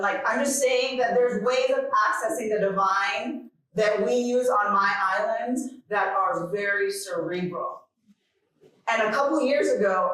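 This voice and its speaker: Echoey, unhurried woman keynote speaker from Jamaica. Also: medium pitch, a bit bright. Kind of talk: informal keynote speech. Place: large echoing conference hall. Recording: very echoey hall, distant microphone.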